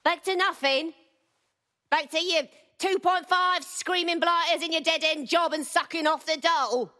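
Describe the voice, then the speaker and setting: An actress playing a ruthless female London crime boss in a video game, with a husky voice and a mock-tender, condescending manner. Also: very high-pitched, normal speed, pushed and bright. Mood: scornful, menacing. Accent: English, London. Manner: mock-tender, calls people love and sweetheart. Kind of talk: dramatic video game acting.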